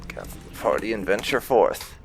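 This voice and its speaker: A man's voice: fairly low pitch, very animated, brisk. A man giving casual commentary on his video game playthrough. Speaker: gaming video host. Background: game music.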